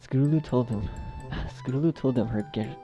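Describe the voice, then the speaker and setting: A man's voice: medium pitch, normal speed, lo-fi sound. A man narrating a story in outtakes, exasperated, restarting sentences and swearing at himself in asides. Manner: exasperated, self-berating, sweary. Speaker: narrator and editor. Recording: loud music over the voice. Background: loud music.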